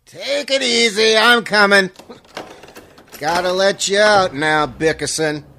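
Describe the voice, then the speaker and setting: A man playing a wry, unhurried jailhouse cop in a 1940s-style radio comedy, drawing out his words. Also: high-pitched, bright, forceful tone, a little hiss or hum, full character voice. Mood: amused. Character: unhurried, wry jailer, a policeman.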